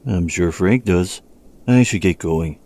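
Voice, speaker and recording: A man's voice: sits low, slow, darkish tone. A man playing a polite, evasive, matter-of-fact police officer in an audio drama, speaking plainly.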